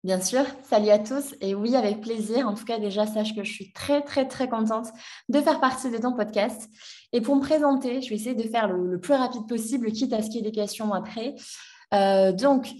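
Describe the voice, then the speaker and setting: A woman's voice: fairly high pitch, moderate pace, darkish tone. Hesitant young woman, slightly distant mic. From France. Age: 20s. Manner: hesitant, many fillers and restarts. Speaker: guest. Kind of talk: personal story in a podcast interview.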